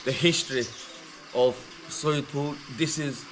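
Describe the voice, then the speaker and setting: A man's voice: a little high, steady tone, slow, reverberant, background noise. A man giving a joking, rambling tour of his family's old village house. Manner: joking, rambling, loose tour-guide talk. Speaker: vlogger. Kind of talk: casual chat, touring a village house.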